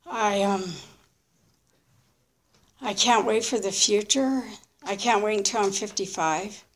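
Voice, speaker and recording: A woman in her early fifties giving a candid, unscripted personal testimony in short, halting, reflective phrases. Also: medium pitch. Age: early 50s. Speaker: recovering alcoholic sharing her story.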